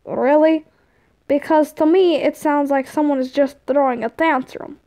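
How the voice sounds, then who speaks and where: A woman's voice: sits high, fairly even intonation, a little slow, dark tone. A woman voicing an exasperated, teasing man looking after a boy in a story, scolding and bargaining wearily. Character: exasperated, teasing, busy male guardian of a boy.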